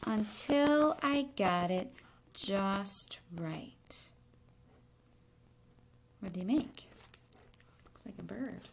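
Middle-aged woman, children's read-aloud, unhurried pace, mid pitch, home webcam sound with noise and clicks. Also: animated, gravelly. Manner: deliberate, with pauses between short phrases. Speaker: teacher reading a picture book aloud. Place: indoor room in a home.

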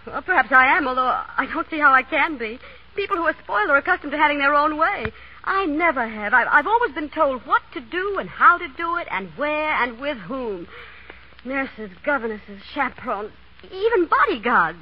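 An actress playing a spoiled, candid, headstrong young runaway heiress in a 1930s radio drama. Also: female voice, high-pitched, very animated, moderate pace, a little hiss or hum. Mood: chatty, candid. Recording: muffled, dull 1930s radio broadcast.

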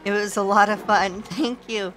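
A woman streaming a game with casual, playful commentary, laughing often, her voice a little hoarse and sore. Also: mid-range voice, steady tone, normal speed, a bit bright, slight background noise, low-quality recording. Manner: giggly, playful, exclaims at the game.

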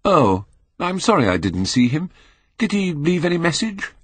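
A young British gentleman, played by a male audiobook reader, speaks briskly and courteously to his servant. He is self-possessed and casual, with a lifted pitch and a dry, close sound. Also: sing-song, breathy edge, dry recording, heavily acted. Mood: light, courteous. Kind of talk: audiobook character reading.

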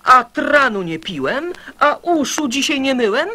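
A lazy small boy in a children's radio piece. The voice is high and raspy, bouncing up and down in pitch, full of whiny, offended protest. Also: sing-song, a little slow, pushed and bright, full character voice. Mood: indignant. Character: indignant lazy little boy making excuses. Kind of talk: dramatized children's verse.

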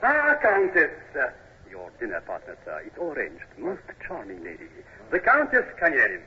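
An actor playing a suave, courtly Russian minister with a hesitant, unctuous, diplomatic manner in a 1950s radio drama. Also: male voice, mid-range voice, wildly swooping pitch, normal speed, pushed and bright, heavily acted. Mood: gracious. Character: suave, courtly Russian government minister, middle-aged or older. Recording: dull, lo-fi old radio recording.

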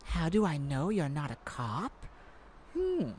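A sassy female thief, acted by a woman in a flirty ASMR roleplay. Her voice sits fairly low and swings expressively as she teases with theatrical self-assurance. There is clear ambient noise behind her. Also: wildly swooping pitch, darkish tone, exaggerated voice. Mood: cocky, teasing. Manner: teasing, sassy, theatrical, drawn-out purring delivery.

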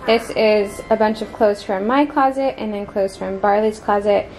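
A woman's voice: medium pitch, normal speed, darkish tone, rough recording. A young adult American woman chatting casually to viewers, thinking aloud in a meandering, informal way, recorded on a handheld camera at home. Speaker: vlogger, mother preparing for a baby. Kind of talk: casual vlog chat to viewers. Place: inside a home, moving between rooms. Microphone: handheld camera mic. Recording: handheld camera with clear background noise.

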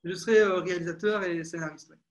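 A man's voice: high voice, steady tone, fast. A young man from France, a film director, talking about his work on a video call with many fillers and restarts, using an earphone mic in a small room at home. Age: young adult.

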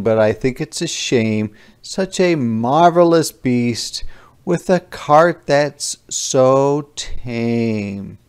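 A man reading a children's storybook aloud expressively and deliberately, with dramatic emphasis and drawn-out line endings. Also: mid-range voice, a little mellow, clear, resonant tone. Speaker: storybook reader.

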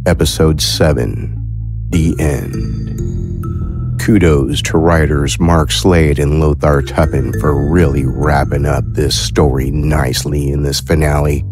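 A man's voice: a bass voice, takes it slowly, creaky. A man introducing a horror audio drama episode as its announcer, in a theatrical scripted manner. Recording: music behind the voice.

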